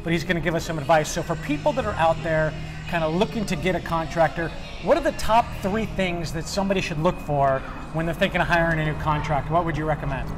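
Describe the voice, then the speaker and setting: A man's voice: fairly high pitch, quick pace. A man in his forties hosting a casual restaurant review, chatty and upbeat with many fillers, recorded on a lapel mic on an outdoor patio. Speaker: host. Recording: music playing behind.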